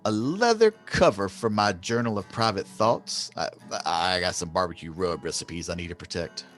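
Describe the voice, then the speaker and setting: A man's voice: sits low, animated, normal speed, a bit bright. A man acting a naive, curious country customer in a comic radio advert, with rustic, puzzled questioning. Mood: curious, impressed. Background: plucked guitar music.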